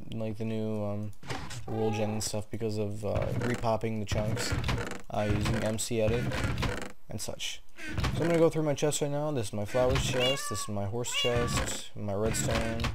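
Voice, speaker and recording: A man's voice: mid-range voice, noisy background. A young man with a sore throat from a cold, casually narrating a tour of his game world and rambling with many ums. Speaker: gaming video host. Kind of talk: casual gameplay commentary.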